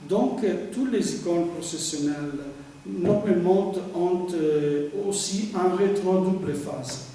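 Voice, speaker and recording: A man with an Italian accent, a priest who paints icons, giving a lecture and often pausing to hunt for words. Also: sits high, warm tone. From Italy. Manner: halting, searching for words with frequent fillers. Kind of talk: lecture on sacred art. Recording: echoey hall, speaker far from mic.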